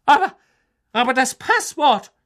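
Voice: medium pitch, sing-song, moderate pace, breathy edge. A reader voicing a strict older female professor in a storybook, scolding and indignant. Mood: shrieking.

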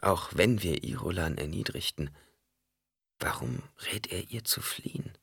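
A man voicing an anxious woman's inner thoughts in an audiobook, hushed and tense. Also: low-pitched, expressive, a little slow, creaky, breathy edge, no echo, clean studio sound, exaggerated voice. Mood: troubled.

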